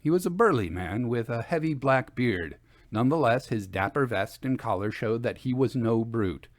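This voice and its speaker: A male storyteller reads a literary first-person tale. His mid-range voice moves with lively, expressive intonation and measured phrasing. The recording is dry and close. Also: medium pitch, no room sound.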